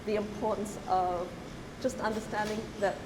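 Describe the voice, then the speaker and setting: A woman's voice: mid-range voice, little pitch movement, background noise. Woman speaking thoughtfully in a roomy hall. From Zimbabwe. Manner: thoughtful, pausing, many fillers and restarts. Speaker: artist. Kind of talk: reflective conversational talk. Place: gallery.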